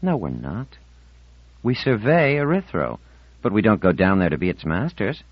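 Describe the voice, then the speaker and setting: A male narrator plays a defiant teenage girl in an audiobook story, raised well up in pitch. She is articulate and moody, insisting on her point with solemn indignation. The sound is slightly dull and very dry. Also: big pitch swings, normal speed, breathy edge, no room sound, heavily acted. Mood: bitter.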